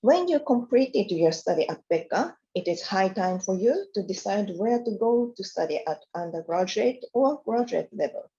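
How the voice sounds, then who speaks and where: A woman gives a scripted university presentation and walks through her slides in a careful, formal way. Her voice is mellow and warm at a middle pitch. The recording sounds dull and lo-fi. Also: animated, moderate pace, few pauses.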